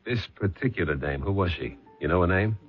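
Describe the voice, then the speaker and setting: An actor playing a dogged police sergeant who asks blunt, probing questions, in a 1970s radio drama. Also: male voice, low voice, lively intonation, brisk, over music. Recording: muffled old radio broadcast.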